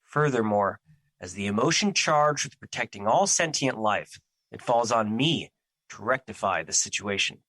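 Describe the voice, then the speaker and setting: A man's voice: lowish voice, expressive, moderate pace, a bit bright, no echo. A man acting in an audio drama as a grave, brooding personified emotion who guards life, speaking in formal theatrical diction. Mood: alarmed, resolute.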